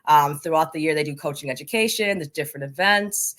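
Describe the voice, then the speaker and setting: A woman's voice: sits low, brisk, fairly bright tone. A woman with an American accent talking casually as an interview guest. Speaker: podcast guest, coaching organization leader.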